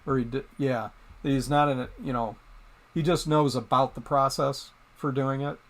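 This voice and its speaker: A middle-aged man from Michigan hosting a casual live stream, rambling with plenty of pauses and restarts as he talks to viewers in chat. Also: mid-range voice, fairly even intonation, fairly bright tone. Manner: rambling, hesitant, many ums and restarts.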